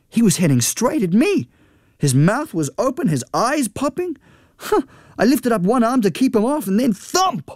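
A man reading a first-person story aloud in an earnest, steady voice. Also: on the high side, no room sound, studio quality. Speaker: audiobook reader.

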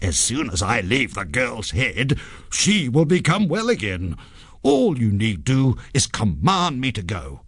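A man's voice: mid-range voice, wildly swooping pitch, unhurried, a bit bright, breathy edge, no echo, clean studio sound, voice pushed up, heavily acted. A man voicing a wicked, bad-tempered male ghost as a storybook character, with a gleeful, menacing manner. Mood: sly.

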